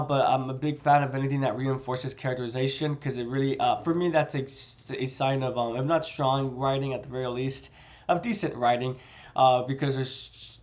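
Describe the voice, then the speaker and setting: A man with a cold, sounding congested and a bit nasal, casually discussing a novel chapter and often correcting himself. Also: medium pitch. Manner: rambling, self-correcting. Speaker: book reviewer.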